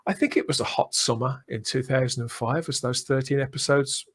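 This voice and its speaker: A man's voice: medium pitch, steady tone, a little fast, a bit bright, husky. A British man hosting a casual fan podcast, chatty and often repeating phrases as he builds a point. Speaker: podcast host.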